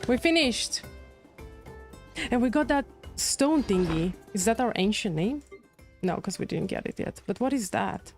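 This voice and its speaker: A woman's voice: a little high, lively intonation, unhurried, long pauses. A woman livestreaming a game, giving casual, chatty commentary as she thinks aloud. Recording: game music behind.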